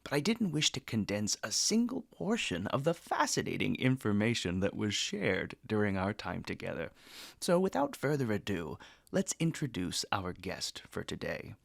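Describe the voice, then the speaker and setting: A man playing a genteel, erudite eighteenth-century gentleman who hosts a podcast with courtly, theatrical phrasing. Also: lowish voice, very animated, moderate pace, vocal fry, no echo, clean studio sound, heavily acted. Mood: storytelling, courtly. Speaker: podcast host. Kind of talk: formal podcast introduction and interview.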